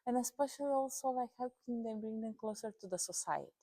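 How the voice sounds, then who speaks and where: A woman's voice: a little high, quick pace, warm tone. A woman from Romania, a guest in an interview, talking animatedly and often rephrasing as she goes. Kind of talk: casual interview conversation.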